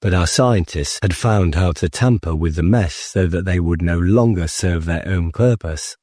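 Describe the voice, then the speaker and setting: A man narrates an audiobook with a lowish, mellow voice that has a husky edge. He reads in a measured, deliberate way, as scripted storytelling. The sound is dry and close. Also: no echo. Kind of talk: scripted first-person story narration, voicing dialogue.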